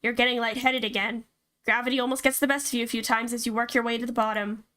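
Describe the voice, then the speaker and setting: A woman streamer reading a horror visual novel aloud and reacting to it in an expressive, casually sweary way. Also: a little high, fast talker, smooth. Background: game music.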